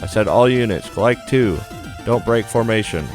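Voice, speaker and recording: A man's voice: on the low side, moderate pace, pitched above the performer's own voice, lightly acted. Casting: stern, commanding woman squadron leader for a sci-fi game, played by a man, clipped and raised over music. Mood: stern, urgent. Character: stern female squadron commander barking orders.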